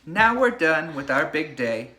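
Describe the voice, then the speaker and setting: A man speaking as a teacher to a young class, sharing a picture book in an animated storybook read-aloud style, with casual chat. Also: a little high, a little slow, slight background noise.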